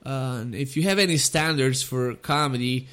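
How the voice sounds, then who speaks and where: A man's voice: medium pitch, moderate pace, a bit bright. A man, hosting his own podcast in a casual, rambling, self-deprecating monologue full of hesitant fillers. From Bulgaria. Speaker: podcast host and comedian.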